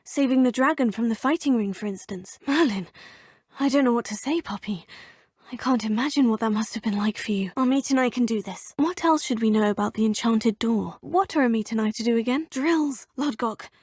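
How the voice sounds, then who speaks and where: An actress voicing a polite, curious young female witch student in a video game, speaking in a crisp, well-spoken way. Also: a little high, a little fast, a little mellow, dry recording, clean studio sound. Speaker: player character. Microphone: close studio mic.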